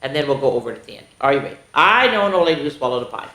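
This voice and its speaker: A woman hosting a children's story time, reading a picture book aloud expressively, with exclamations and asides to the young listeners. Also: very deep voice. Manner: expressive, exclaiming, pausing to comment and ask the listeners. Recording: echoey room.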